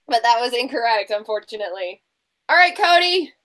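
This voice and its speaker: A woman hosting a casual book quiz, chatting in an animated, teasing way. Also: fairly high pitch, brisk. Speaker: quiz show host.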